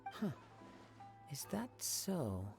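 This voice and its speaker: An actor playing a calm, courteous young samurai in a video game, modest and reflective, with composed, polite delivery. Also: male voice, on the high side, drawn-out words, vocal fry, full character voice. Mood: thoughtful, probing. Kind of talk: video game voice acting, formal dialogue. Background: background music.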